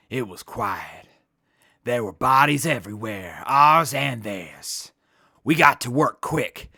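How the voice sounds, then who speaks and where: A man's voice: mid-range voice, gravelly, bright, forceful tone, dry recording, studio quality, full character voice. A man playing a hip, unflappable beatnik squad leader in a post-apocalyptic audio drama, telling things in jive slang.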